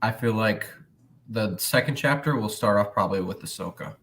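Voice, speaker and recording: A man's voice: on the low side, moderate pace, fairly bright tone. A man co-hosting a casual podcast, talking loosely with many "like" fillers. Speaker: podcast co-host. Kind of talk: casual chat.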